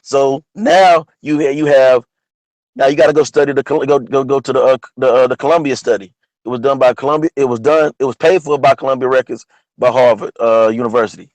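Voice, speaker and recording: A man with a partly lost, hoarse voice, talking casually about history as host of an online show, often asking "you know what I'm saying?". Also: medium pitch, steady tone, a little fast, a little mellow, dry recording, rough recording. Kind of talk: casual history talk monologue.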